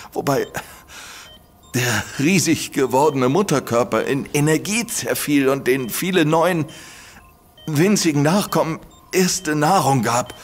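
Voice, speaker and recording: An actor playing an infected man who fears going mad, pleading in halting, stumbling bursts in a radio play. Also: slightly rough. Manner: halting, stumbling pleas, pitch jumping when agitated. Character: infected man fearing madness, urgent and desperate.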